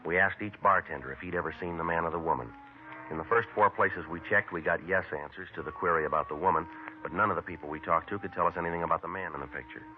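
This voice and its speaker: A terse police detective voiced by a man lays out the facts in a very low, clipped, matter-of-fact voice and moves briskly through the case. It comes through thin and phone-like, as in an old radio crime broadcast. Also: faint music behind, close to an everyday voice. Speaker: detective and narrator. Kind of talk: 1950s radio crime drama. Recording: tinny vintage radio broadcast.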